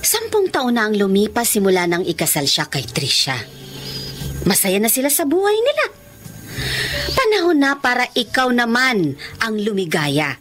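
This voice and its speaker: A lively, doting Filipino mother. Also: fairly high pitch, moderate pace. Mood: concerned, coaxing. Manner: expressive, coaxing, motherly. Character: doting, nagging older mother, expressive and concerned. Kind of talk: Tagalog radio drama acting.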